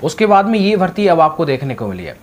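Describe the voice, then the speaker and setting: A man's voice: on the high side, big pitch swings, fast. A man giving exam guidance in an informal, repetitive, colloquial way, addressing viewers directly. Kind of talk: informal explainer with sponsor plug. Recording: faint background noise.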